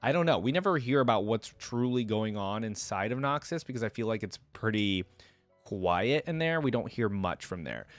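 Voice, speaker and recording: A man's voice: lowish voice, animated, moderate pace, dry recording, faint music behind. A man giving casual reaction commentary as a video host.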